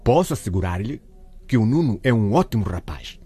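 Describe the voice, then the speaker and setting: Worried father, husky and mellow, very lively pitch. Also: normal speed, heavily acted. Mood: reassuring. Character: worried father, middle-aged man defending his son. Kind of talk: radio drama acting.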